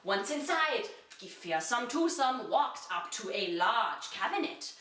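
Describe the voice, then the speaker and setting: Female storyteller, slow and deliberate, bright tone, dramatic reading of children's fantasy, set back from the mic. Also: a little high, sing-song, recorded from a distance, slight background noise. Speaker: reader. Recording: echoey room, away from the mic.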